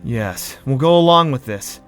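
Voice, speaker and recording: A man's voice: medium pitch, lively intonation, a little slow, a bit bright, faint music behind. A man playing an amiable cartoon pony prince with a casual, good-natured and slightly hesitant way of talking. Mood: resolved. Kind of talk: scripted audio drama acting.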